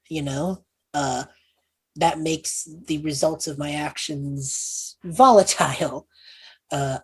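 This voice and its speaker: A woman's voice: low-pitched, breathy edge. A woman talking informally through a tabletop role-playing game, explaining things in a casual, improvised way. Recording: music playing behind the voice. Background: background music.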